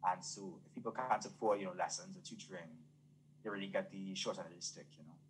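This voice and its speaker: Deep-voiced Trinidadian man, earnest. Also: quick pace, slight background noise, rough recording. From Trinidad and Tobago. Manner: earnest, fluent, uses many fillers. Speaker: guest. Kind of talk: casual interview talk.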